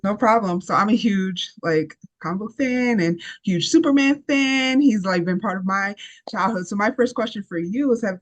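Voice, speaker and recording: An eager, chatty interviewer running a casual talk with a guest. The voice sits at an ordinary middle pitch and often breaks into laughter. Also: animated, moderate pace. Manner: enthusiastic fan, chatty with frequent laughs.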